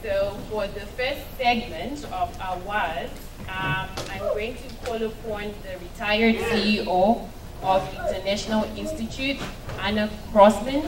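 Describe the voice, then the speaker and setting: A woman from Zimbabwe announcing award recipients at an event in a measured way, with fillers. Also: mid-range voice, crowd noise. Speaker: awards presenter. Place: event hall. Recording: echoey hall, distant mic, loud noise.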